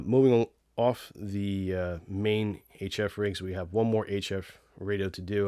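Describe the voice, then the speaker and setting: A man's voice: low-pitched, dry recording, clean studio sound. A man hosting a casual product review, explaining technical details in an informal way. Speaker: video host reviewing radios.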